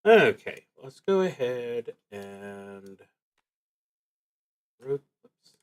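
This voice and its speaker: A man with a mid-pitched voice hosts a casual solo roleplaying livestream. He takes his time, haltingly working through the rules aloud and explaining them as he goes. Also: sing-song, clean tone. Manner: halting, thinking aloud while reading rules. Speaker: host streaming a solo tabletop roleplaying game.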